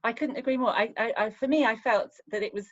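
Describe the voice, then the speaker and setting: A woman hosting an online filmmaker Q&A, asking gentle, appreciative questions. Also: a little high, quick pace. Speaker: interviewer host. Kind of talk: online Q&A interview. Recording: dull lo-fi sound.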